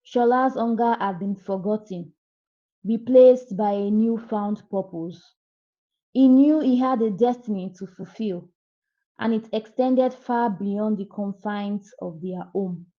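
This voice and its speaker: A woman's voice: mid-range voice, takes it slowly, dark tone, highs cut off. A woman narrating a scripted folktale as a storyteller.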